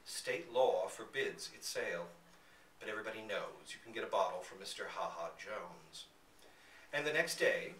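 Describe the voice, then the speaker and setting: A man reading a literary story aloud to listeners in a bookstore, with theatrical, deliberate phrasing. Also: medium pitch, sharp tone. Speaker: reader at a live literary reading. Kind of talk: expressive scripted story narration. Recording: echoey room, distant mic, faint background noise.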